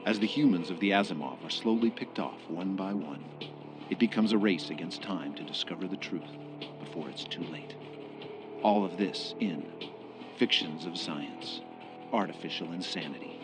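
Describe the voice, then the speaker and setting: Low voiced guy narrating a radio drama, dramatic read, clear music bed underneath. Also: moderate pace, poor audio.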